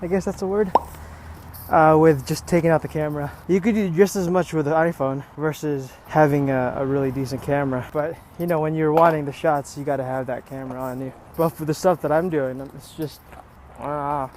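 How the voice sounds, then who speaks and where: A man's voice: fairly high pitch, fairly even intonation, fairly quick, darkish tone. A man chatting casually and matter-of-factly as a skateboarding vlogger, outdoors on an earphone microphone. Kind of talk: casual rambling vlog chat. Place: outdoors at an urban skate spot. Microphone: earphone microphone with a phone. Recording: noisy outdoor phone and earpiece audio.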